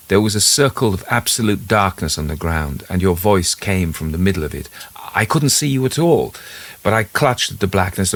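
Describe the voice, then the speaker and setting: A man's voice: sits low, fairly bright tone. A man playing a shaken countryman recounting a frightening encounter in an urgent, stammering way, in an audio ghost story.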